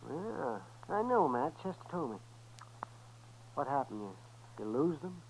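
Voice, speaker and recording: An actor playing a crotchety, teasing older town doctor in a 1950s radio western, chatty and gravelly, with an American accent. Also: male voice, on the high side, sing-song, measured pace, darkish tone. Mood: curious, wary. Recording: noisy, crackly old radio recording.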